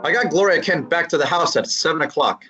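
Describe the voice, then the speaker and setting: A man's voice: on the high side, lively intonation, quick pace, highs cut off, loud music behind the voice, lightly acted. A man playing a wisecracking young male detective assistant in a student radio drama, breezy and matter-of-fact. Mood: narrating. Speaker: student actor.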